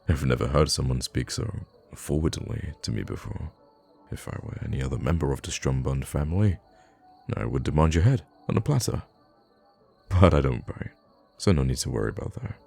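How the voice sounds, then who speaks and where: A man playing a kind, charming young prince in an intimate audio roleplay, with formal, courtly phrasing and hesitant pauses. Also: rumbling low, creaky, darkish tone, slightly rough, heavily acted. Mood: amused, charmed. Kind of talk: intimate ASMR roleplay acting.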